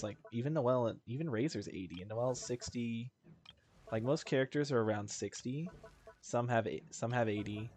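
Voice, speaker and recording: A man's voice: on the low side, darkish tone. A man giving casual gameplay commentary, rambling and thinking aloud as he plays. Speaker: video game streamer.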